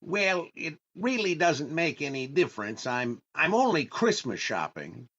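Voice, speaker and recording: A man's voice: on the high side, very animated, a little slow, a bit bright, slightly rough, heavily acted. An actor playing a fussy, hesitant, easily exasperated male comedian in a 1940s-style radio comedy. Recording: dull online radio-play recording.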